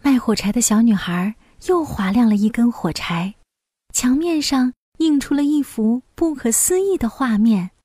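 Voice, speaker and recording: A woman storyteller reading a scripted fairy tale for young children in an expressive manner. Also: fairly high pitch, normal speed, dry recording, studio quality.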